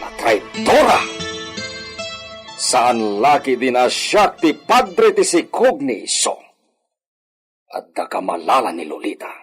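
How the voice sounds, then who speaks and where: A man's voice: medium pitch, huge pitch swings, slow pace, pauses often, soft background music. A man with a Filipino accent acting a stern, commanding and jealous wealthy landowner in a radio drama. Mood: bitter, jealous. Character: stern, wealthy, bitterly jealous hacienda master.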